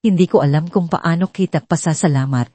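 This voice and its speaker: A woman's voice: low-pitched, a little fast, dark tone, no echo. A woman voicing a grateful, sincere billionaire father, earnest and heartfelt, in an audio story.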